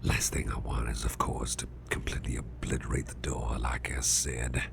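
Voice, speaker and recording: A man playing a big, strong, grumpy boss who is trying to stay calm, in a gravelly, breathy near-whisper for an ASMR roleplay. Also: rumbling low, exaggerated voice. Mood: pondering, uneasy. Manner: slow, halting, murmured, restrained.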